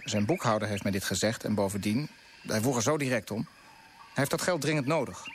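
A man's voice: medium pitch, noisy background, close to an everyday voice. A man acting a righteous, idealistic colonial official in a radio drama, speaking in an earnest, insistent, declamatory way. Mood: grave, confiding. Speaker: actor in a radio play.

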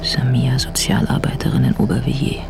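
An actress playing a determined, controlled policewoman in a radio drama, speaking in a hushed, matter-of-fact way. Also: a bass voice, a little fast, vocal fry, in a whisper, music in the background. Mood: guarded, quiet. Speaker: police investigator.

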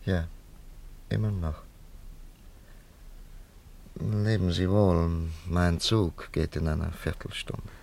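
An actor playing a stern, reserved gentleman friend in a German radio drama, formal and admonishing in manner. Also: sits low, steady tone, takes it slowly, vocal fry, long pauses, full character voice. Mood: firm, resigned.

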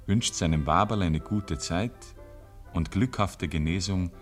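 A man's voice: sits low, unhurried, faint music behind. A man with a Bavarian accent narrating a rural tale in a literary radio play. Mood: measured, grave. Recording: 1950s radio recording.

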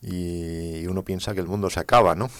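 A man answering an interview in a halting way, repeating small words as he thinks. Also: sits low, fairly even intonation, no room sound.

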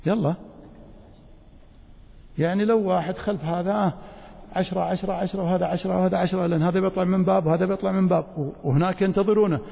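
A Saudi man lectures as a sheikh in a large, reverberant hall. His voice is husky and creaky, and he teaches didactically, putting short checking questions to his students. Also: sits high, fairly even intonation, quick pace, pauses often, dark tone, highs cut off. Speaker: Islamic studies teacher, sheikh. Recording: echoey hall with long reverberation.

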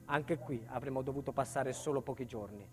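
A man's voice: medium pitch, darkish tone, soft background music, natural voice. A male actor playing an embittered protected witness recounting a life in hiding, in a solemn, intense theatre monologue. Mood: weary, oppressed. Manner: solemn, intense, deliberate phrasing. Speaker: stage actor and narrator. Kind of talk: dramatic first-person theatre monologue.

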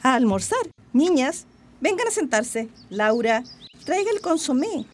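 A woman's voice: high-pitched, sing-song, measured pace, heavily acted. A woman playing an anxious, bossy but affectionate Chilean mother in a radio drama. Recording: noisy, clattering dishes and clicks.

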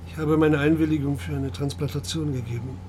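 An actor playing a grieving, troubled middle-aged father in a naturalistic radio drama, speaking in a halting, subdued way. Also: on the high side, steady tone, a little mellow, slight background noise, natural voice. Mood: regretful.

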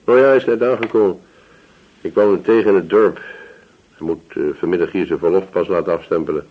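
A man's voice: sits low, fairly even intonation, fast, a little mellow, husky, poor audio. A man acting an obliging, gossipy village police sergeant in a radio drama, chatting deferentially with small hesitations. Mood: obliging, chatty.

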